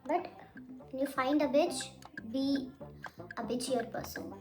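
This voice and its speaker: A woman's voice: high voice, fairly even intonation, a little slow, over music. A young woman, a Hindi speaker, giving blunt, rambling advice in a casual makeup vlog while she does her makeup. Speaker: beauty vlogger.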